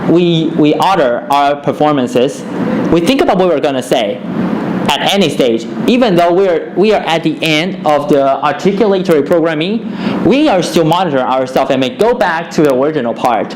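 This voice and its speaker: A man's voice: a little high, animated, normal speed. A young man from Taiwan whose first language is Chinese, giving a class presentation in English with a Taiwanese accent, often restarting and repeating words as he talks. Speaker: student presenter. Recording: echoey room with background noise.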